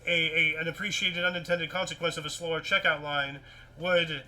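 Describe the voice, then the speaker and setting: A man with a high, very sharp and forceful voice hosts a casual news chat. He moves between reading stories aloud and rambling commentary, often circling back and repeating words in an animated way. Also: brisk, soft background music. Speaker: host of a weird-news talk show.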